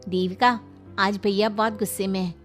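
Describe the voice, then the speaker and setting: A woman's voice: medium pitch, steady tone, normal speed, soft background music, lightly acted. A woman voicing a spiteful, scheming young sister-in-law in a cartoon family story, insistent and complaining.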